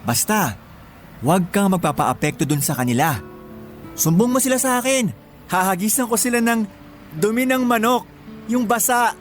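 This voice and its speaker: A man's voice: high-pitched, animated, normal speed, long pauses, faint music behind. A Filipino man playing a caring, playful younger brother in a radio drama, affectionate and teasing.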